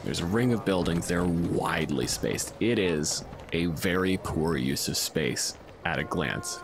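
A man's voice: low voice, animated, measured pace, background noise. A man hosting a podcast, casually discussing and improvising scenes as a game master.

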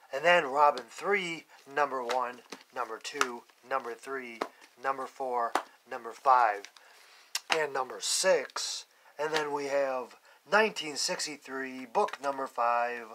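A man's voice: mid-range voice, noisy background. A young man casually narrating a comic book unboxing, listing issues plainly and cursing bluntly when annoyed, recorded indoors on an overhead phone camera. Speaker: comic collector vlogger. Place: indoors at a table, filming from above.

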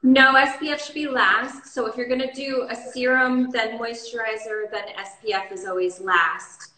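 Woman host, skincare expert, bright voice, relaxed explainer, echoey space. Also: on the high side, normal speed. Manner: relaxed, explaining with many fillers. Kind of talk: casual demo chat.

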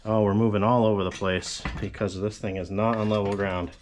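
A man's voice: fairly low pitch, level tone, brisk, darkish tone, a little hiss or hum. A man in his forties, a fabrication channel host, casually explaining a metal shop tool in his home garage, rambling with frequent asides. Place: cluttered home garage workshop with tarp walls.

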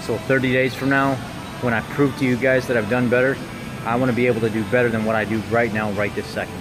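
Deep-voiced man vlogging over gym music. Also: little pitch movement, quick pace, rough recording. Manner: candid, self-critical. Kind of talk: casual motivational chat to camera. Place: large indoor gym. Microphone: phone at arm's length. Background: loud music.

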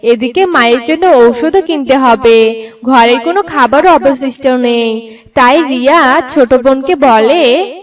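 A woman narrates a scripted cartoon story in a high voice. She takes her time with each phrase and sounds slightly distant from the mic. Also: a little slow.

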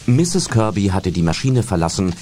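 A man's voice: on the low side, sing-song, normal speed. A man reading scripted narration for a German audio drama. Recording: loud music under the voice.

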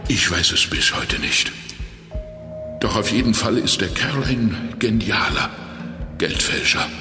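An actor playing a weakened, injured ex-crook turned private detective in a children's audio drama, confessing in a halting, strained and determined way. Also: male voice, fairly low pitch. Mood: weary confessing. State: weak and exhausted from injury. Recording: echoey reverberant hall, music underneath.

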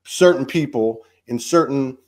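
A man's voice: mid-range voice, unhurried. A man in his fifties hosting a casual spiritual chat over a video call. He rambles loosely and fills his talk with "you know" and "man". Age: 50s. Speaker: host. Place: home room, indoors.

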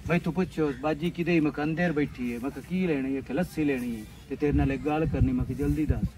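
A man's voice: on the high side, fairly even intonation, quick pace, a little mellow, natural voice. A young man with a rural Pakistani Punjabi accent, playing a boastful, hard-up village suitor bantering and making excuses in a comic drama. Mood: gossiping. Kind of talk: improvised comic drama dialogue. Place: outdoors by a brick wall and field. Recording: distant camera sound, faint outdoor noise.

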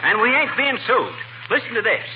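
An actor playing a boastful, excitable small-town American husband in a folksy, blustering 1940s radio comedy style. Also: male voice, extremely high pitch, brisk. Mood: triumphant. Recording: muffled, noisy 1940s radio broadcast.

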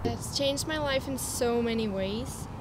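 A woman's voice: fairly high pitch, unhurried, noisy background. A teenage girl from Denmark, an exchange student, speaking earnestly to the camera outdoors. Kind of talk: casual testimonial to camera. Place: outdoors on a lawn by a tree.

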